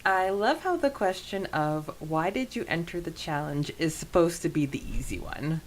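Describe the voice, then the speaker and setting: A woman's voice: low-pitched, lively intonation, moderate pace, slight background noise, poor audio. A woman co-hosting a podcast, talking in a chatty, informal way about a book. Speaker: podcast co-host. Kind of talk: casual book discussion chat.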